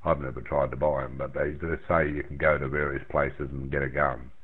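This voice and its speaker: A man's voice: very deep voice, level tone, fairly quick, husky. An older Australian man chatting casually over amateur radio in a rambling, plain-spoken way, repeating himself as he goes. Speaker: amateur radio operator in a round-table chat. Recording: narrow, tinny radio transmission.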